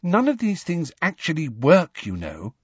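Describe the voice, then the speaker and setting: A man voicing a bossy, sensible, earnest teenage schoolgirl with a brisk manner in an audiobook. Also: on the high side, huge pitch swings, husky, no echo, dull audio, voice pushed up, heavily acted. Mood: stern, dismissive.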